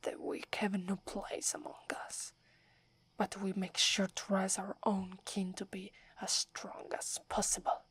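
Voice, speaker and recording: A woman's voice: mid-range voice, monotone, a little slow, fairly bright tone, breathy edge. A woman from Italy with an Italian accent, whispering as a proud, wary young female werewolf who is prickly and defensive. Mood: haughty, wary. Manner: haughty, grudging, defensive. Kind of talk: ASMR roleplay.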